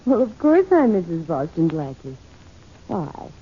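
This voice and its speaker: A woman's voice: on the low side, big pitch swings, unhurried, slight background noise. An actress playing a sly, coolly confident female blackmailer with coy, insinuating delivery, in 1940s radio drama style. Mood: coolly demanding. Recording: muffled old 1940s radio recording.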